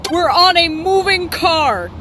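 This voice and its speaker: Slow-spoken, earnest young son, acted by a woman. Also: very high-pitched, lively intonation, noisy background, full character voice. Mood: anxious, exasperated. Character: young son standing up for himself, exasperated, hesitant. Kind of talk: voice acting for a game cutscene.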